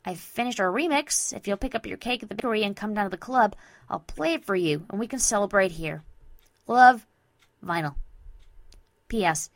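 A brash young cartoon mare, acted out by a woman narrator with a loud, exuberant delivery. She is playful and teasing, like a DJ character in an animated story. The sound is dry, with no room on it. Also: mid-range voice, normal speed, no echo, natural voice. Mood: apologetic, annoyed. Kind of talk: fan fiction character voice.

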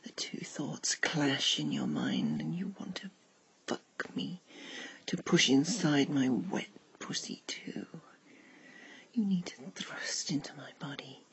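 Breathy woman in an intimate whisper. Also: extremely low pitch, big pitch swings, takes it slowly, rough recording. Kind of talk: intimate whispered erotic audio roleplay, second-person narration.

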